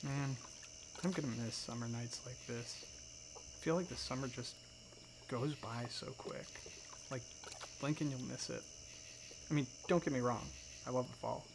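A man playing a friendly, gentle dragon friend in a soft-spoken, affectionate ASMR roleplay. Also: medium pitch, normal speed, long pauses, noisy background, natural voice. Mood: wistful, easygoing.

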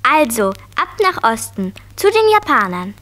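Curious preschool girl, bouncy intonation, high voice, eager, children's radio play. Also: very animated, measured pace, fairly bright tone. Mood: eager, delighted. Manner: eager, questioning. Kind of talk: 1970s children's audio play acting.

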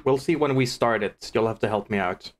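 A man's voice: lowish voice, brisk, slight background noise. A man chatting casually as a live streamer while he comments on the game he is playing.

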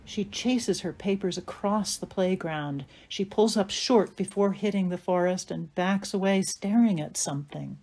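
A woman's voice: medium pitch, measured pace, few pauses, darkish tone. A woman giving scripted narration, reading out stage directions for an audio play.

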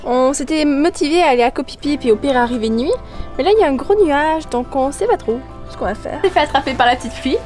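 Young female sailing vlogger, high-pitched, brisk delivery, music bed behind. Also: a little mellow. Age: young adult. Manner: chatty, explains plans and weather aloud. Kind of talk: casual vlog narration. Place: outdoors on a sailboat deck.

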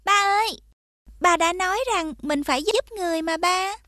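A woman voicing a kind-hearted little peasant girl in an animated fairy tale. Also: very high voice, moderate pace. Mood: kind, curious.

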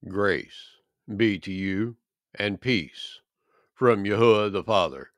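A man reading a Bible chapter aloud in a measured, deliberate, solemn style. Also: lowish voice, dry recording, clean studio sound. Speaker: scripture reader.

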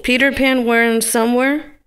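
A woman casually prompting a child who is reading, asking short questions and gently correcting words, recorded indoors at home on a handheld camera. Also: on the high side, level tone, a little slow, clear, resonant tone. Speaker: parent helping a child read.

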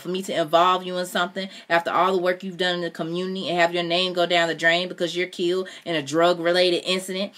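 A woman's voice: low-pitched, a bit bright. A woman voicing a proud, embattled man who hurls heated questions at his lover. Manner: heated, demanding rhetorical questions.

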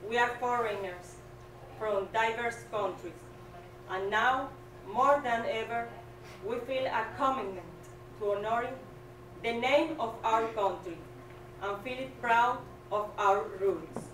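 A woman in her thirties with a Venezuelan Spanish accent, a native Spanish speaker reading her graduation speech slowly and carefully at a stand mic. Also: on the high side, distant mic, background noise. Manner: slow, careful, earnest reading. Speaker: graduating student. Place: small hall with curtain backdrop. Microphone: stand mic, filmed from a distance. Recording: echoey room, voice off-mic.

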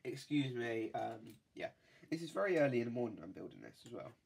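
A man's voice: medium pitch, big pitch swings, brisk, gravelly, a little mellow, breathy edge. A man with a cold, chatting casually and rambling with many fillers while building a toy set at a desk. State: has a cold. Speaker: toy-building video host. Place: indoors at a desk.

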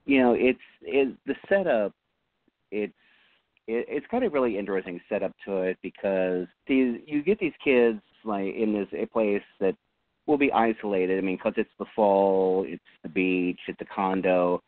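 A fairly low-voiced man sits on a casual panel and talks haltingly, stopping often to restart his sentences. The sound is dry and close, and it is squeezed thin like a phone call. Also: no room sound. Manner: hesitant, full of uhs and restarts. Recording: dry tinny phone-line audio.

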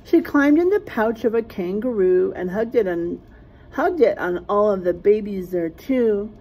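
Expressive, slightly husky woman reading to children. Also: medium pitch, rough recording. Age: middle-aged. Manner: teacherly, pauses to point out rhyming word pairs. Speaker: storyteller reading a children's book aloud. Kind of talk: animated rhyming picture-book read-aloud. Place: small classroom, indoors.

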